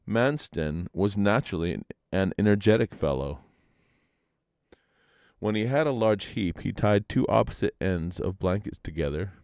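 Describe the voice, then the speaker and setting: A man's voice: low-pitched, a little mellow, no room sound, clean studio sound. A man narrating a traditional legend aloud as a storyteller, in a live reading. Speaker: storyteller and reader.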